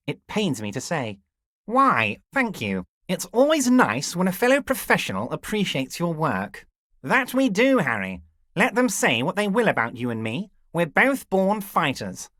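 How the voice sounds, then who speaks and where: A man voicing a smooth, scheming middle-aged male union boss in a video game, with an oily, ingratiating and patronizing manner and slow, teasing delivery. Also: high voice, sharp tone, no echo, clean studio sound, full character voice. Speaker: voice actor. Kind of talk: video game character voice lines.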